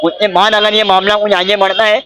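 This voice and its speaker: A man's voice: sits high, level tone, fairly quick, bright, forceful tone. A man with a Mumbai accent giving political interview answers as an advocate and local party office-bearer. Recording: faint background noise on location.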